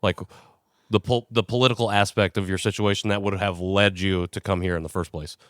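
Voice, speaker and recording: A man's voice: sits low, steady tone, brisk, dry recording, clean studio sound. A man hosting a podcast interview, easygoing and affirming, asking questions in a casual chat. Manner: easygoing, frequent affirming "yeah" and "sure". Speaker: podcast host.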